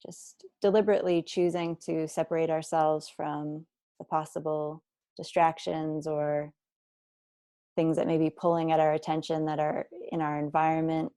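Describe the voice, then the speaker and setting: A woman guiding a body scan meditation, speaking slowly and deliberately with gentle instructions and long pauses. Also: low voice, flat delivery, no echo, clean studio sound. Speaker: meditation teacher.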